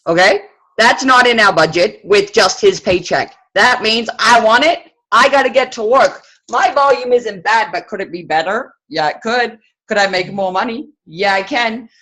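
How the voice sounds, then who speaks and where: A woman's voice: a little high, moderate pace, fairly bright tone, rough recording. A woman on a sales team speaking frankly and determinedly in a casual chat. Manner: frank, plain-spoken, determined.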